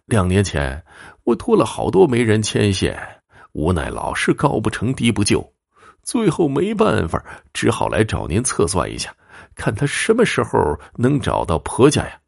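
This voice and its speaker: Man putting on a proud old mother, swooping pitch. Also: wildly swooping pitch, normal speed, gravelly, husky, no echo, clean studio sound, heavily acted. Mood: plaintive. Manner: old-fashioned, effusive, sighing. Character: proud, sighing fifty-one-year-old matchmaking mother.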